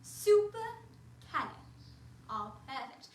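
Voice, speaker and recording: Cheerful prim nanny played by a woman, high sing-song voice, encouraging, roomy echo, far-off mic. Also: big pitch swings, fast, background noise. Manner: sing-song, encouraging, talks to unseen children. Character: cheerful prim female nanny, young adult. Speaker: costumed character performer for children. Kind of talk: in-character children's activity video. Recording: roomy sound from a distant mic.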